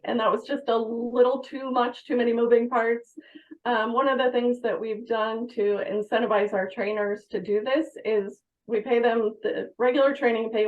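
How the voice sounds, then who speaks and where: A woman's voice: a little high, narrow, tinny audio. A woman guest answering interview questions, explaining how things work at her workplace in a conversational way. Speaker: podcast guest, fire dispatch manager.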